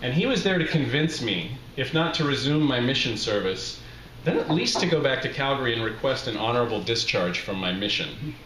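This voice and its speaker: A man's voice: medium pitch, expressive, fairly quick, fairly bright tone. Middle-aged man reading aloud in a roomy bar. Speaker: author reading his memoir aloud. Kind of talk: live literary reading of a humorous personal story. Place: small bar with brick walls, live reading. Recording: roomy live bar recording, faint background noise.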